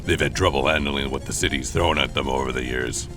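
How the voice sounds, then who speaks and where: A man's voice: very deep voice, fairly quick. A man voicing a grim, brooding masked vigilante who narrates his thoughts tersely in noir comic-book style over music. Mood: grim, pensive.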